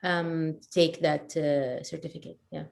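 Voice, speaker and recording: Brief: Iraqi-born woman, 30s, low-pitched, relaxed and chatty with frequent laughs, as an interview guest. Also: a little slow, vocal fry. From Iraq. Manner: thoughtful, laughs easily, many fillers. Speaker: guest, education counselor and photographer. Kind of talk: casual interview chat.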